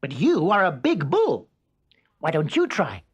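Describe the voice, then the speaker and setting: Man playing a husky, plucky little rat. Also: fairly high pitch, huge pitch swings, measured pace, lo-fi sound, exaggerated voice. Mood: coolly reasonable. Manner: matter-of-fact, quietly cocky. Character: plucky, unruffled little male red rat. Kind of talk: children's storybook character voice.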